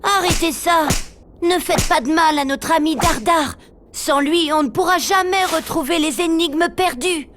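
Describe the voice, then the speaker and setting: Earnest, high-voiced young boy. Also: a little slow, music in the background. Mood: pleading. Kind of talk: animated game cutscene acting.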